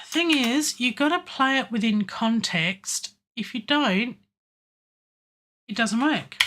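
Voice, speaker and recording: A middle-aged woman with a hoarse, sore throat, thinking aloud as she works on a song at home, with lots of ums and pauses. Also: fairly high pitch, lively intonation, a bit bright. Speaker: music producer streaming a songwriting session. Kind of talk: casual live-stream talk while working. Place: home studio, indoors. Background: faint music playback from the session.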